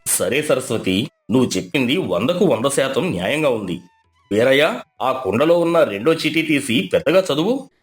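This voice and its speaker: A man's voice: mid-range voice, very animated, soft background music, natural voice. Man acting a solemn village council elder. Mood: solemn, judicial. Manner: measured, solemn. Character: fair-minded, authoritative male village council elder. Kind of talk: dramatized moral story.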